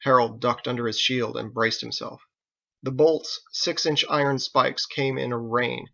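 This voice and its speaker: A man's voice: mid-range voice, steady tone, measured pace, gravelly, bright, forceful tone, lo-fi sound. A man reading his own novel aloud as dramatic audiobook narration.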